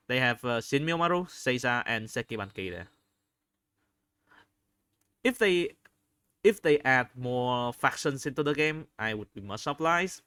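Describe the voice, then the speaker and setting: Guy doing unscripted video commentary, crisp voice, really dry close sound, keeps pausing to find his words. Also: medium pitch, sharp tone, no room sound. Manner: halting, searching for words, repeats phrases. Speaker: video host reviewing game screenshots.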